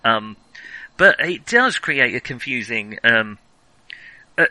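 A man's voice: medium pitch, sing-song, takes it slowly, bright, forceful tone, no echo, low-quality recording. A man co-hosting a casual film discussion, musing aloud and wandering off into tangents. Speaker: podcast co-host.

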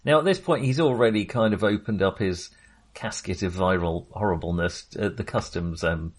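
A man's voice: sits low, moderate pace, slightly rough. A man talking casually as a podcast co-host in a film discussion, with a chatty, hesitant delivery.

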